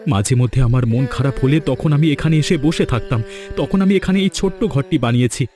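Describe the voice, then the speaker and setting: A man's voice: medium pitch, brisk, music in the background, close to an everyday voice. A man voicing an earnest, devoted young husband and son in a fairy-tale cartoon. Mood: reassuring.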